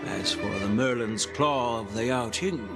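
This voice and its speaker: A man playing a sardonic old general in a video game, speaking in a deliberate, theatrical way over music. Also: mid-range voice, close to an everyday voice. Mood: stern, ominous. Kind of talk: scripted video game voice acting.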